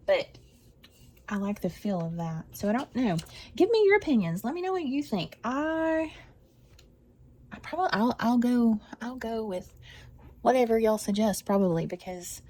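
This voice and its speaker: A woman's voice: mid-range voice, darkish tone, slight background noise. An older woman, chatting casually about her stitching with many restarts and repeats. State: congested. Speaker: embroidery vlogger.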